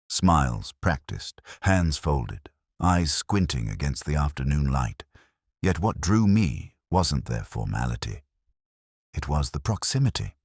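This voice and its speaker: An older man reading a literary first-person detective story in a measured, reflective and solemn manner. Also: extremely low pitch, no room sound, clean studio sound. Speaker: narrator.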